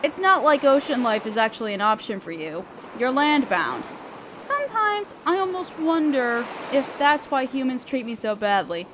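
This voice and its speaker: A woman's voice: high-pitched, big pitch swings, normal speed. A woman playing a guarded young female selkie in a roleplay audio drama, aloof and hesitant yet curious as she talks to the listener. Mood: guarded, suspicious. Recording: ocean waves and wind behind voice. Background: surf, waves and wind sound effects.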